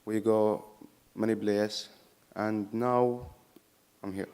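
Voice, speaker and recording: Young Syrian man, halting English. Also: on the low side, level tone, a little mellow, clear, resonant tone. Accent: Syrian Arabic. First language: Arabic. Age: young adult. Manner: simple halting English, apologetic. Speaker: youth program client speaking at a meeting.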